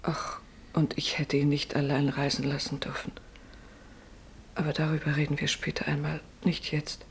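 An actor in a radio play as a guilt-ridden, withdrawn person, speaking in a hushed, introspective way over faint noise. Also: male voice, fairly high pitch, completely flat delivery, normal speed, vocal fry. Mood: pensive regret.

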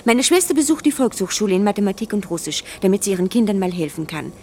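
A woman's voice: medium pitch, big pitch swings, fast talker, darkish tone, slight background noise, close to an everyday voice. A woman in a German radio play acting a persistent works-newspaper reporter, officious and coaxing in manner. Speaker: actor.

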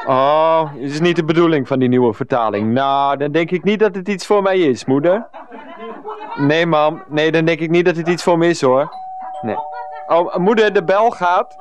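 A man's voice: a little high, normal speed, dull audio, close to an everyday voice. An actor playing a meek, naive, easily pushed-around man in a comic radio play, hesitant and mild. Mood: polite, evasive. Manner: hesitant, mild protests, verbal tics.

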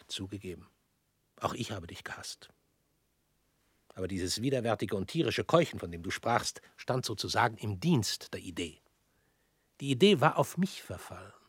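Brooding ideologue, hushed and then declaiming, radio drama, close dry mic. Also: male voice, on the low side, slightly rough, no room sound. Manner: intense, shifting between hushed whispers and raised declamation. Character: former interrogator turned self-declared criminal, man, intense.

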